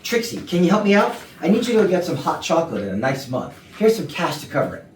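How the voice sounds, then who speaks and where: Woman as a low-voiced lovesick man in denial. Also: very animated, quick pace. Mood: distracted, brisk. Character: lovesick man in hopeful denial over his breakup. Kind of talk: staged comedy reading. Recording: distant echoey rehearsal room, faint noise.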